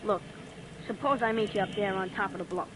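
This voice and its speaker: An actor doing a cocky street-gang kid. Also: male voice, high voice, steady tone, fairly quick, noisy background, lightly acted. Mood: defiant, cocky. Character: tough street-gang boy, defiant, cop-hating. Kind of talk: 1940s radio acting.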